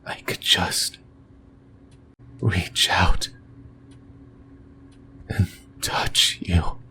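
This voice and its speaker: A man playing an obsessive, lonely sleep paralysis demon in a breathy, intimate whisper for an ASMR horror roleplay, hesitant and rambling. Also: deep voice, little pitch movement, very slow, sharp tone, slight background noise, heavily acted. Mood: yearning, possessive.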